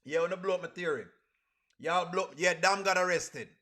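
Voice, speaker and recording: Bright, high-voiced Caribbean man chatting. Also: moderate pace. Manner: repeats phrases emphatically. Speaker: livestream host. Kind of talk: casual reaction livestream, chatting with viewers.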